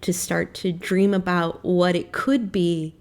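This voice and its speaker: A woman speaking as a theater director and interview guest, measured and careful in her phrasing. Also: fairly low pitch, little pitch movement, darkish tone. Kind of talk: thoughtful radio interview.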